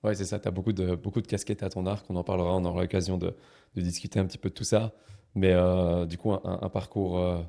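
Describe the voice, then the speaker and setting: A podcast host with a very low, darkly mellow voice. He talks in a relaxed, halting way, stopping and restarting his sentences as he chats with his guest. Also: gravelly. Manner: hesitant, repeats and restarts phrases. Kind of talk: casual interview chat.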